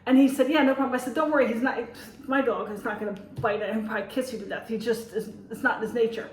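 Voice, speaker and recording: A woman's voice: a little high, steady tone, fast talker, reverberant, far from the mic, low-quality recording. A woman with an American accent, a teacher, chatting casually to her vlog audience in a rambling way, with many fillers and restarts. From United States. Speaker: teacher and vlogger. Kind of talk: casual vlog monologue and storytelling.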